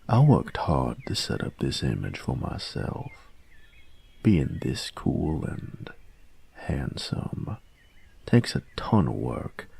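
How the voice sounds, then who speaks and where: A man playing a cocky young bully who is secretly soft on his boyfriend in an intimate audio roleplay, gravelly and husky, grudging and teasing in a cool-guy way. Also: rumbling low, full character voice. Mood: mocking, dismissive.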